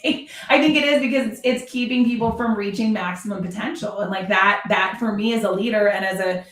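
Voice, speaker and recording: An emphatic woman explains things in a conversational podcast discussion, leaning on repetition and examples. Her pitch is middling for a woman. The recording is echoey, with her voice set back from the microphone. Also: fairly quick, fairly bright tone, distant mic. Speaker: podcast host and real estate productivity coach. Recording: roomy echo, away from the mic.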